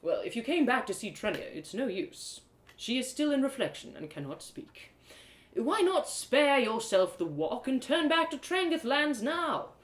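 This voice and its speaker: A woman's voice: high-pitched, big pitch swings, moderate pace, voice pushed up. A woman voicing a self-righteous male elf guard in a storybook, with formal, mocking speech. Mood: condescending. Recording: roomy echo.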